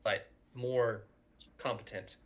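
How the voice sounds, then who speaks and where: A man giving casual behind-the-scenes commentary on an audio drama, halting and thoughtful as he searches for words. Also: mid-range voice, steady tone. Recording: noisy, audio drama playing underneath. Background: audio drama playing in the background.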